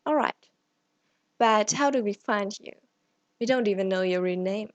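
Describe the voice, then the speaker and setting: A woman acts out a female gangster in an audio drama, guarded and challenging. Her medium-pitched voice is recorded dry and sounds distrustful and defiant. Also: moderate pace, dry recording, lo-fi sound, lightly acted. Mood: wary. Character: wary, defiant female gang member. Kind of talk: fan audio drama acting.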